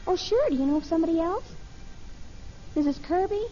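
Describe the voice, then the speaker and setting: An actress playing an earnest, eager teenage daughter in a 1950s radio family sitcom. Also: female voice, very high-pitched, animated, brisk, mellow, soft background music. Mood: eager, polite. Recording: muffled, noisy old radio recording.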